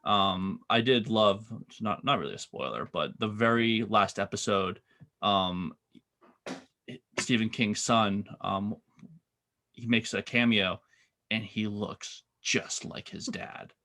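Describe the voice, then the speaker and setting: A man from Massachusetts, a podcast guest, chatting in a relaxed way with fillers and laughs. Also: on the low side, takes it slowly. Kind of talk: casual interview chat.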